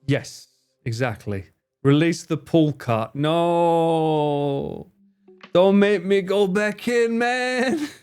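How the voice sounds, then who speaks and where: A man's voice: on the high side, expressive, drawn-out words, a little mellow, clean tone, faint music behind. A man streaming live, chatting casually with viewers in a joking, theatrical way and swearing freely. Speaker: streamer and cameraman.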